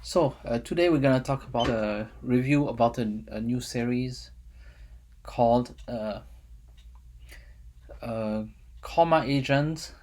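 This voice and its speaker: A man's voice: medium pitch, a little slow, long pauses, a little mellow. Rambling French-accented man vlogging. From France. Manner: rambling, many fillers and restarts, chuckles often. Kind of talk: casual review vlog.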